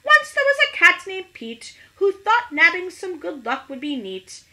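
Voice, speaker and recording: A woman acts out a crafty little male leprechaun from a picture book. The voice is high and bright, swooping in a sing-song as he teases and taunts in rhyme. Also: sing-song, unhurried, heavily acted. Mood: taunting.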